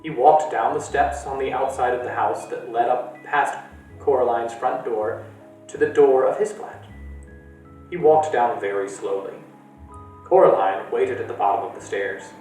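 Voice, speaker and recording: A man from a library reading a storybook aloud in a lively, animated way. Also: mid-range voice, normal speed. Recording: echoey room, distant mic, faint music.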